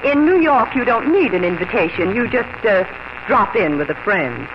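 Actress as lively, arch society woman, old radio. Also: medium pitch, normal speed, lightly acted. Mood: breezy. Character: breezy, sociable East Coast woman, wryly teasing. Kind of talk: 1950s radio drama acting. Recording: noisy, muffled old radio broadcast.

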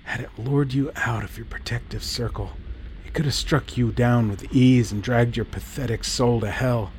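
A man voicing a bitter, scornful middle-aged priest who speaks slowly in a hushed, menacing tone. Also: mid-range voice, fairly even intonation, a little hiss or hum, natural voice. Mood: contemptuous. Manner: hushed, slow and menacing, rising to a snarl.

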